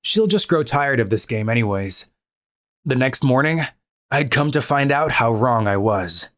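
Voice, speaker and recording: A woman's voice: very deep voice, animated, unhurried. A woman voicing a cool-headed, easily exasperated teenage otaku boy who narrates his own story in a manga video. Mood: dry, weary. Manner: dry, exasperated. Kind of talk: scripted first-person anime narration and dialogue. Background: background music.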